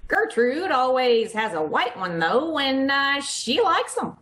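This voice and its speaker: A woman's voice: high-pitched, sing-song, slow, fairly bright tone, heavily acted. A woman playing a naive, cheerful, boy-crazy young girl in old-time radio comedy, dreamy and guileless. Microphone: video call. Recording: video call with faint noise.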